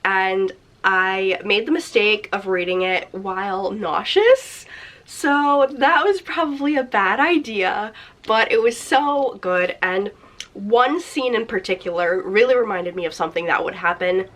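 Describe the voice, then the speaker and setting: A woman with a faintly raspy, flu-roughened voice chats casually about books, rambling and correcting herself as she goes. She sounds bubbly and at ease, seated in front of her camera, and her pitch sits right in the middle for a woman. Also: a little slow, a bit bright. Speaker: book vlogger. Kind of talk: casual chatty book recommendations. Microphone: desk mic or camera, seated in chair.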